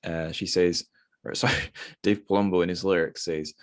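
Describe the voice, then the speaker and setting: A man's voice: deep voice, steady tone, moderate pace. A man hosting a podcast, chatting casually about literature in a rambling, self-deprecating way. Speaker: podcast host.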